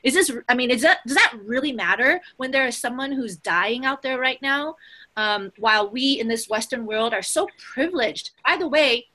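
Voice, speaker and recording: A woman's voice: high-pitched, lively intonation, moderate pace, pushed and bright. A woman who teaches spirituality, talking casually and earnestly, with emphatic phrasing and the odd swear word.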